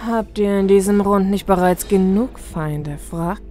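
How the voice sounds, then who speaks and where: A woman's voice: mid-range voice, unhurried, mellow, close to an everyday voice. A woman playing a scornful, treacherous pirate captain, speaking in a mocking, pointed way in a fantasy story.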